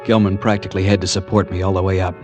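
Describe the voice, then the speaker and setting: A man's voice: deep voice, flat delivery, very fast, dull audio, natural voice. An actor playing a desperate, earnest young American man, narrating and pleading in 1940s radio suspense style. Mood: tense, narrating. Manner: earnest, nervy, dramatic. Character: anxious, desperate young man hunting his missing fiancee. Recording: vintage radio drama, music behind.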